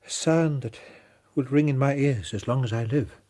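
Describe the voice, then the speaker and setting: An actor playing a fussy, shaken Victorian journalist in a radio drama, hesitant and agitated, with an English accent. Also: male voice, medium pitch, moderate pace, mellow, close to an everyday voice. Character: flustered, self-important Victorian newspaper journalist, shaken.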